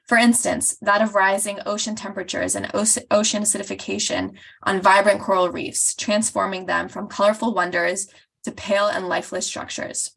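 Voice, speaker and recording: A woman's voice: medium pitch, level tone, normal speed, creaky, fairly bright tone. A woman from Costa Rica, an advocacy group coordinator, reading polished testimony at a public hearing over a video call. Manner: polished, reads steadily from a script.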